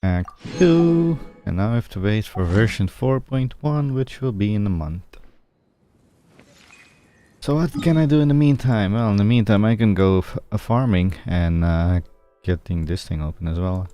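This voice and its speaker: Guy streaming a game, kinda deep voice, just thinking out loud, bit of game music behind him. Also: animated, moderate pace. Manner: thinks aloud, rambling. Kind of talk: casual gameplay commentary.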